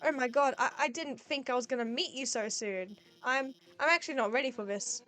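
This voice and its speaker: A woman's voice: sits high, fairly quick, a bit bright. Timid female lizard scientist, voiced by a woman, meek, stammering. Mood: nervous.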